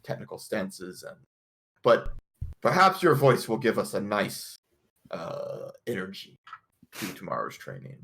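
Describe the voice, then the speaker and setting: A man improvising in-character tabletop roleplay, speaking in a halting, stammering and rather formal way. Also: fairly low pitch, big pitch swings, creaky, fairly bright tone.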